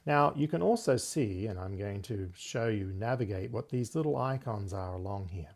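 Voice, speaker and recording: A man hosting a software tutorial, explaining things step by step in a conversational way. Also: fairly low pitch, lively intonation, moderate pace, vocal fry. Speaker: host of tech tutorial series.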